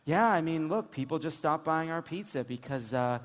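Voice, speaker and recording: A man's voice: on the high side, animated, moderate pace. A man hosting a live political comedy panel with sarcastic, profane, emphatic banter. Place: stage in a live venue. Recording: echoey hall, noisy live stage sound.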